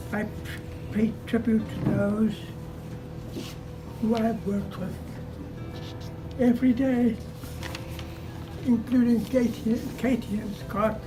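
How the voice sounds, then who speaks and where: An older man, a retiring physician, earnestly thanking colleagues and family in a party speech. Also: sits high. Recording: distant mic with loud music.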